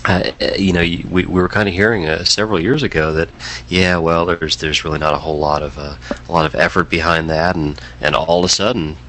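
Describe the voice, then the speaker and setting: A man's voice: low-pitched, steady tone, a little fast, fairly bright tone. A man with a congested, nasal voice co-hosting a tech podcast, talking with many stutters and restarts. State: stuffy, congested. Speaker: podcast co-host. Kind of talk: casual tech podcast chat.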